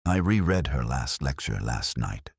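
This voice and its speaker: A man playing a melancholy older English police inspector, delivering a measured, solemn, literary monologue in a radio drama. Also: a bass voice, sing-song, slightly rough, no echo, studio quality, full character voice. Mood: brooding, wistful. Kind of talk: scripted first-person radio drama monologue.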